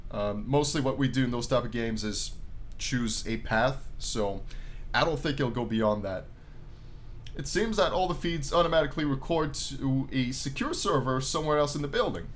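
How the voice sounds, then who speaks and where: A man giving expressive let's play commentary, reading visual novel text aloud and adding his own drawn-out, enthusiastic asides. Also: medium pitch. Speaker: gaming YouTuber. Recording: noticeable background noise.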